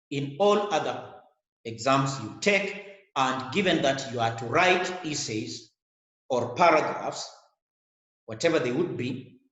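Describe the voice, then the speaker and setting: A man's voice: medium pitch, a bit bright. A middle-aged man with a Kenyan English accent gives an online lecture to a webcam, speaking earnestly and repeating his words. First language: a Kenyan language. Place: small indoor room. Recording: echoey room.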